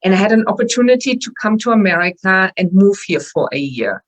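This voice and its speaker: A woman with a German accent, a wellness coach speaking earnestly as an interview guest, with some halting restarts. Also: medium pitch, big pitch swings. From northwestern Germany. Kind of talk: interview conversation.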